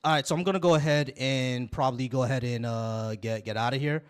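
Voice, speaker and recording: A man's voice: medium pitch, steady tone, a little fast, clean tone. A young American man from Florida hosting a livestream debate, firm and insistent, repeating phrases to keep the floor. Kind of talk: heated live debate.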